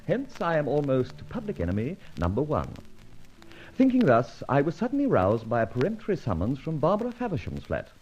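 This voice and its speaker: An actor playing a suave, witty British gentleman thief with jaunty old-fashioned slang in a radio detective drama. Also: fairly high pitch, huge pitch swings, fairly quick, soft background music. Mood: wry, self-assured. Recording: dull, lo-fi old radio recording.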